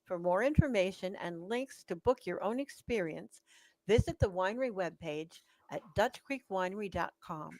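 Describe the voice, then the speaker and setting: A woman's voice: medium pitch, expressive, moderate pace, a little mellow, low-quality recording. A woman announcing and narrating a radio drama in a scripted style.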